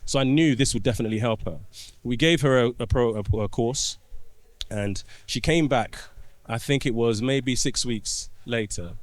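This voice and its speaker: A man's voice: medium pitch, normal speed, no room sound. Man telling stories in a dry studio. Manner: storytelling, explanatory, restarts phrases. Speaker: guest, trauma doctor. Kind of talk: conversational podcast interview.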